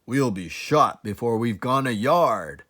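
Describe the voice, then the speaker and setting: A man's voice: mid-range voice, lively intonation, slow pace, a bit bright. A man voicing a blunt, agreeable badger in a children's storybook, speaking plainly and with emphasis. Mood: hearty, blunt. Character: blunt, agreeable male badger, the fox's friend. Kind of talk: children's storybook read-aloud.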